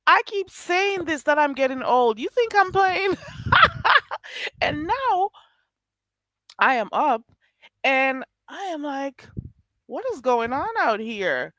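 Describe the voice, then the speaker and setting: A woman's voice: high-pitched, big pitch swings, slow, long pauses, fairly bright tone, dry recording. Stuffy, nasal woman chatting live late at night. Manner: chatty, laughs often, drawls "child". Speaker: live streamer reading chat. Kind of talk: casual late-night live stream chat.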